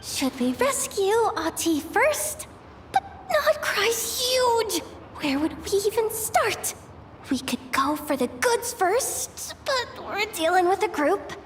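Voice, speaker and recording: A woman playing an excitable, easily panicked young guild worker in a video game, with an expressive, dramatic delivery. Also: very high-pitched, slow, a little hiss or hum, full character voice. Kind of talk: animated video game voice acting.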